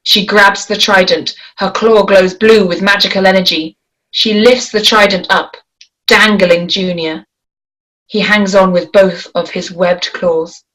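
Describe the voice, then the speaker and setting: A woman narrating stage directions at a table read, in a measured, descriptive way. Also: medium pitch, steady tone, fairly bright tone, rough recording.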